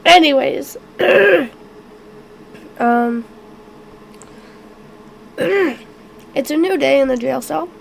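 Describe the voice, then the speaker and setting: A woman running a tabletop game on stream, halting and clearing her throat as she narrates while sick and losing her voice. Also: sits high, animated, slight background noise, rough recording. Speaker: game master.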